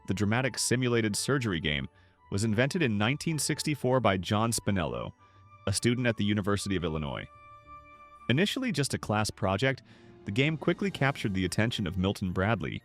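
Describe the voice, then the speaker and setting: A man reading a scripted, nostalgic documentary narration in a measured, polished way. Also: lowish voice, very animated. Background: background music.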